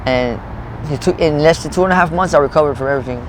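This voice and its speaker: A man in his late thirties talks quickly, rambling through his story, swearing and breaking off mid-thought. He is recorded outdoors in a parking lot, with faint traffic noise behind him. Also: fairly high pitch. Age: 30s. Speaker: interviewee. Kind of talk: street interview, rambling personal story.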